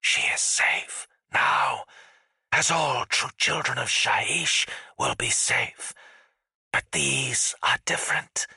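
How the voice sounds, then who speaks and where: A man voicing an eerie, coldly coaxing spirit of death in an audiobook, in a breathy, insinuating whisper. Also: mid-range voice, slow, bright, forceful tone, no echo, clean studio sound, pitched above the performer's own voice, full character voice. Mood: sinister, soothing. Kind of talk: dramatic audiobook character voice.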